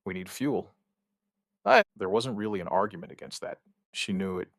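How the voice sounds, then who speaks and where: A man playing an outbreak survivor who gives a wry, weary witness account in an audio drama, in short, halting, understated phrases. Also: low-pitched, sing-song, vocal fry, fairly bright tone, no echo, studio quality, heavily acted. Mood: grim, tense. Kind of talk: scripted first-person audio drama narration.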